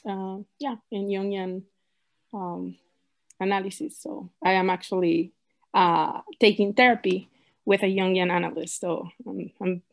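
A woman's voice: medium pitch, fairly even intonation, a little slow, gravelly. A young woman from Mexico chatting casually and a little hesitantly on a group video call. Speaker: group participant, psychology student. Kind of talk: casual chat. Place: indoors at home, video call.

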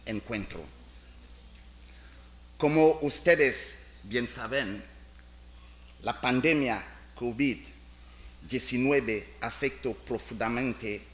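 A man's voice: mid-range voice, a bit bright, slight background noise. A middle-aged man with a French accent, reading a formal speech carefully from a podium in a large conference hall. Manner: slow, careful delivery in a second language. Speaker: international organization official. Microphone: podium microphone.

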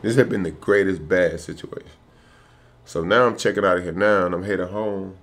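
A man's voice: low voice, fairly even intonation, a little fast. An American man in his thirties talking casually to camera in a hotel room, slangy, peppering his talk with familiar filler phrases. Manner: slangy, repeats "man", "bro" and "you know what I'm saying". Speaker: truck driver vlogger. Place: small furnished hotel room, indoors.

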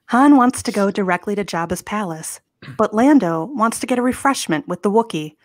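A woman's voice: mid-range voice, expressive, fairly quick, no room sound, clean studio sound. A woman reading scripted stage directions as narrator of a comedy table read. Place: home room. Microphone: home video call.

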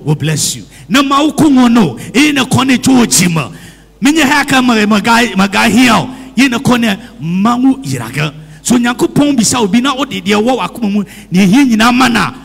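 A man's voice: sits high, gravelly, slightly rough. A man preaching a sermon, switching between English and Twi, calling out amens and putting questions to the congregation. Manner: repeats phrases and asks the congregation questions. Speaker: priest. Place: church hall. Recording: long hall reverb.

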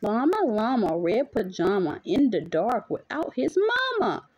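Mom doing bedtime story reading, sing-songy and animated, soft mellow tone, bit of background noise. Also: female voice, medium pitch, unhurried, lo-fi sound. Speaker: mother reading aloud to her young child. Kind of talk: bedtime picture-book reading with chat to a child. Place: small dim bedroom at home.

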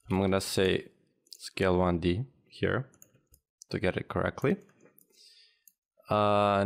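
Slow, gravelly-voiced male instructor. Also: sits low. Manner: unhurried, thinks aloud with frequent "uh" and restarts. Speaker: software tutorial instructor. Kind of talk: screen-recorded software tutorial. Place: at a computer, voice-over of screen capture.

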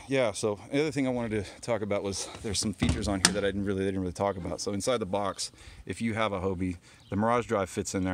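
A man walking around his kayak trailer outdoors and explaining it casually, with lots of ums and restarts, filmed on a handheld phone. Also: low voice. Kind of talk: casual walkaround show-and-tell. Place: outdoors on a driveway.

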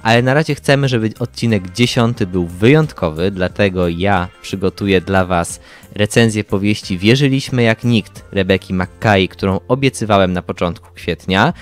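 A man's voice: lowish voice, moderate pace. A young man presenting a podcast as co-host, speaking from prepared material. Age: young adult. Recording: music playing behind the voice. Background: background music.

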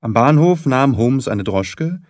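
A male audiobook narrator with a middle-range voice reads in a measured way. His diction is articulate and the recording is dry and close, suited to classic literary storytelling. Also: medium pitch, expressive, darkish tone, dry recording.

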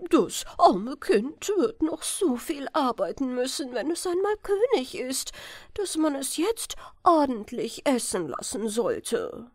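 Storybook queen mother, acted by a woman reader, doting, pitying, higher pitch, dry sound. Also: big pitch swings, slow pace, no echo, exaggerated voice. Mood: coddling. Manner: coddling, pitying. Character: doting queen mother pleading for her gluttonous son.

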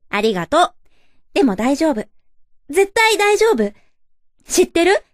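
A woman's voice: high voice, big pitch swings, slow, exaggerated voice. A woman playing a sweet, dreamy, determined young ant in a radio drama, girlish and earnest. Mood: hopeful. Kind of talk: cartoonish radio drama acting.

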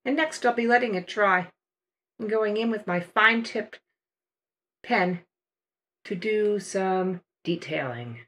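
An older woman narrating a watercolor painting demonstration as a voice-over, explaining each step in a relaxed, unhurried way. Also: medium pitch. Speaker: watercolor art teacher.

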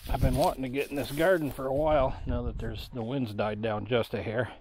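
A man's voice: medium pitch, fairly even intonation, brisk, darkish tone, poor audio. A man giving casual running commentary to himself in short remarks while metal detecting outdoors, recorded on a body-worn camera. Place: outdoors on grassy farm field. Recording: outdoor action camera with background noise.

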